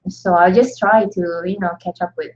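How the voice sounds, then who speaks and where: Woman, husky, lowish voice, halting casual chat, lo-fi audio. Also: steady tone, a little mellow. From Indonesia. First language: Indonesian. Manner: halting, chatty, laughs often. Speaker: co-host. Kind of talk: casual live-stream chat.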